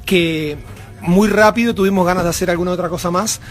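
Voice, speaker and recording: A man's voice: sits high, steady tone, a little fast, soft background music. A Uruguayan man with a Uruguayan Spanish accent, a band member chatting casually in an interview backstage, rambling with many fillers and restarts. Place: backstage at a music venue.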